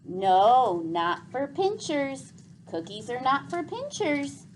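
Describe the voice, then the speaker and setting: Lady reading as a caring big brother kid, plainspoken, bit fed up, really lively pitch. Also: slow pace, noisy background, full character voice. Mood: firm, exasperated. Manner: casual, firm, plainspoken. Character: earnest boy, caring but exasperated big brother.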